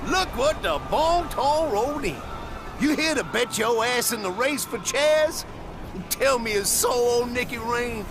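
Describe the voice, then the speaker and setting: Very high-pitched showman, flamboyant. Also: animated, unhurried, music in the background, exaggerated voice. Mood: astonished, welcoming. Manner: folksy, exuberant, drawling showman patter. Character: flamboyant, food-obsessed wasteland race promoter, hearty male showman. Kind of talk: theatrical video game character acting.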